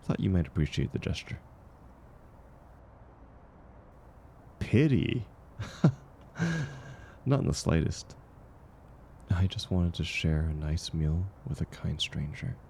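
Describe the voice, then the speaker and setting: Man acting out gentle caring man, mellow dark tone, gravelly fry, reassuring, unhurried, intimate audio roleplay, faint background noise. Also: low-pitched, lively intonation, exaggerated voice. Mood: gentle, sincere. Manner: soft-spoken, reassuring, unhurried. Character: kind, gentle man, once homeless, sharing dinner with a stranger.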